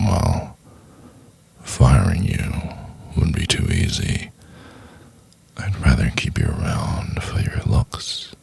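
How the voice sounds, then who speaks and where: A man playing a domineering, seductive boss in a soft-spoken, intimate ASMR roleplay, with a deep, breathy, gravelly voice and drawn-out delivery. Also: full character voice. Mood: smug, mocking. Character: domineering, seductive big male boss, cruel then doting.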